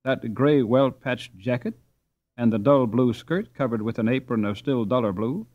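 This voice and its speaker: Guy narrating an audiobook story, expressive, deliberate reading, medium pitch. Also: narrow, tinny audio.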